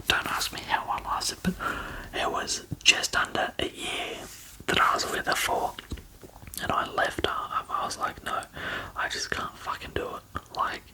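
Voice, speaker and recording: Whispering man with a gravelly voice. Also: on the high side, sharp tone, rough recording. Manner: whispering, frequent swearing, many fillers. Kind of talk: whispered personal storytelling.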